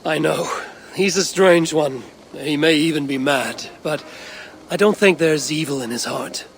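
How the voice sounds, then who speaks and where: An actor playing a stern, level-headed Viking clan leader in a video game, speaking in a measured, dry way. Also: male voice, on the high side, vocal fry. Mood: calm, wary. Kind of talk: dramatic video game acting.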